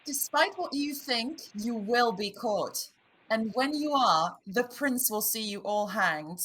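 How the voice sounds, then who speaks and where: Woman as a slow, defiant young princess. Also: on the high side, lively intonation, a bit bright, a little hiss or hum, rough recording. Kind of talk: table read acting.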